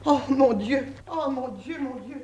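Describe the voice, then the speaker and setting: An actress playing a timid, easily flustered unmarried cook in a 1960s French radio drama, prim and full of exclamations. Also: female voice, sits high, measured pace, a little mellow, lo-fi sound. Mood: alarmed, protesting.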